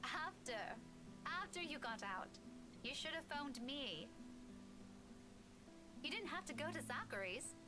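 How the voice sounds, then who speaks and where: An actress voicing a young woman in a horror game who softly scolds a friend. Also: high voice, expressive, a little slow, pauses often, music in the background. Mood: reproachful.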